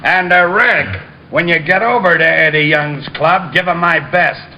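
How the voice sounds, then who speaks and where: An actor playing a brisk, dry-humored police lieutenant in an old-time radio drama. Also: male voice, a little high, sharp tone, full character voice, rough recording. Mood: dry, businesslike.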